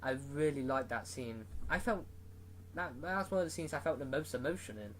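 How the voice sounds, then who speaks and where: A man's voice: on the high side, expressive, a little fast, fairly bright tone, a little hiss or hum. A man giving a casual, rambling movie review, full of fillers and restarts.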